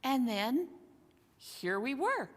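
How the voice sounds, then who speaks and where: An eager schoolboy from a storybook , acted out by a woman reader. He speaks in halting wonder with big rises and falls in a highish voice. The sound carries a long reverb. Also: sing-song, heavily acted. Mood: excited wonder. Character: young Edmund, excited, wondering schoolboy adventurer. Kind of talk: storybook character dialogue.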